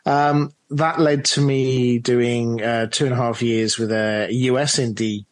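A man's voice: mid-range voice, fairly even intonation, unhurried. A man with an English accent, speaking casually as an interview guest. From England. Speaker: interview guest, audio drama director.

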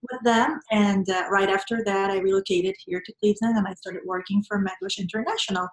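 Woman, Venezuelan accent, native Spanish speaker, earnest and reflective, mid-range pitch, conversational interview answers, roomy echo. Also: fairly even intonation, fairly quick. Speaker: interview guest, nonprofit professional. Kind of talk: conversational interview, personal storytelling.

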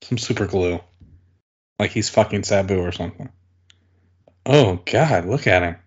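A man's voice: low-pitched, measured pace, breathy edge, muffled recording. A man co-hosting a casual watch-along, rambling with many "likes", swearing and laughing as he reacts. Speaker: podcast co-host.

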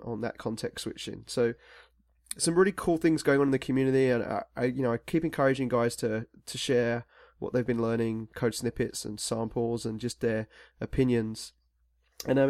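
A man's voice: medium pitch, darkish tone, no echo, clean studio sound. A man hosting a tech podcast, talking in a rambling, casual way with many fillers and restarts. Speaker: podcast host.

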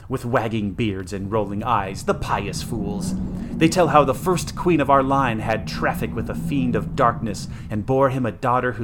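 A man's voice: mid-range voice, natural voice. A man voicing a cruel, sneering witch, noisy recording. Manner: sneering, theatrical, archaic grandiose speech. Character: cruel, mocking young witch, evil twin of queen. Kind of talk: dramatic fantasy story reading.

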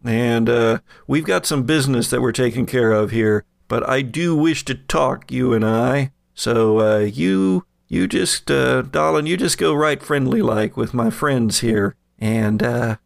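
A man's voice: mid-range voice, a little slow, vocal fry, few pauses, dry recording, clean studio sound. A man voicing a suspicious, commanding blonde woman in her forties with a folksy, coaxing manner, in a tabletop game. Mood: guarded, coaxing.

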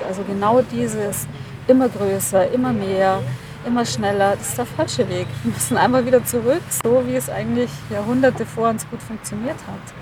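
A woman's voice: fairly high pitch, low-quality recording. A woman giving an earnest, spontaneous interview as an expert, plain-spoken and emphatic. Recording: outdoor traffic noise.

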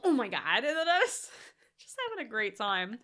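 Woman with a stuffed-up nasal voice, laughs constantly, very animated, chatty podcast cohost. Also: on the high side, normal speed. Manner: giggly, gushing, full of "like" and restarts. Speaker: podcast co-host. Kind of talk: casual film-review chat.

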